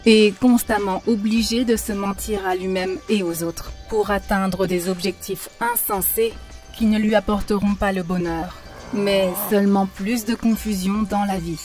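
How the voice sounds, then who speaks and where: A woman voicing a gentle, preachy spiritual teacher. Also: mid-range voice, steady tone, unhurried, faint music behind, lightly acted. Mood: earnest, preachy. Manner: earnest, preachy, addresses listeners as darlings. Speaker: narrator and teacher. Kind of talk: animated film dubbing.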